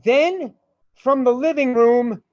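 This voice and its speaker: A man's voice: very high voice, takes it slowly, darkish tone. A man narrating a radio play in a dramatic storytelling style. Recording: dull, roomy amateur recording.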